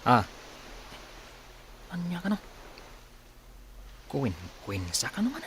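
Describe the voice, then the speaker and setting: Radio-play style male actor as bumbling hired man: hesitant, eager to please, very animated pitch. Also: takes it slowly, full character voice. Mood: confused, deferential. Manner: stammering, questioning, deferential. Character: hesitant, simple-minded hired man serving a senyor. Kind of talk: dramatized audio story acting. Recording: faint background noise.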